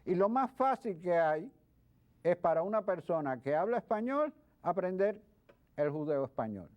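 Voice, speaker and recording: A man speaking as a guest expert in an interview, explaining things in a halting way with repeated words. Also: high-pitched, sing-song. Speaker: guest expert, history professor. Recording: dull lo-fi sound.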